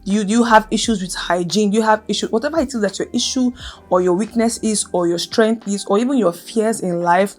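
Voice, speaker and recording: A woman's voice: mid-range voice, brisk, darkish tone, faint music behind. Earnest young woman vlogger with a stutter. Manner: earnest, emphatic, repeats key phrases. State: speaks with a stutter. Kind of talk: casual talk to camera.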